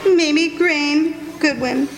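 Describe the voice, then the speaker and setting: A woman playing a very high-voiced, pleading old mother. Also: slow pace. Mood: humble pleading. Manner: plain rural speech, deferential, earnest. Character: humble, pleading old working-class mother writing a letter. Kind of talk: radio drama letter monologue. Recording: roomy stage sound with music underneath.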